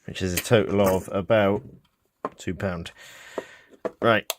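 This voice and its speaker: A man's voice: low voice, steady tone, slow, noisy background. A British man giving a casual, unscripted hands-on demonstration, thinking aloud in a hesitant way. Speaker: hobbyist repair presenter. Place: indoors, small office.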